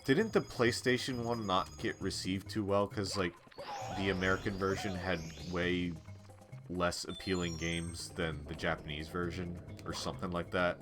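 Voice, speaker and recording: A man streaming a game, chatting casually with a stuffy, congested nasal voice and a rambling, relaxed way of talking. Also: deep voice, moderate pace, over music. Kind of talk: casual chat while playing a game on a live stream.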